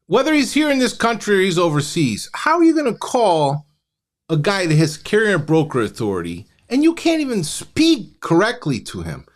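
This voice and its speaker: A man riffs through a live review, mocking and exasperated. His pitch swings widely as he reacts. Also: wildly swooping pitch, normal speed. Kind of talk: live commentary.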